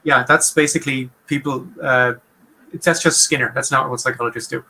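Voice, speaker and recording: A man with an Irish Munster accent, talking through a topic on a casual podcast, quick and wry. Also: medium pitch, fairly even intonation, bright, forceful tone. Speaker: podcast host. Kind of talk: casual explanatory chat.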